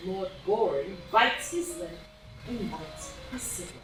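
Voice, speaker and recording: Narator wanita yang ekspresif di ruangan sangat bergema. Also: female voice, mid-range voice, lively intonation, unhurried. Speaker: narrator. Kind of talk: dramatic reading of stage directions. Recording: very echoey room, distant mic, music behind.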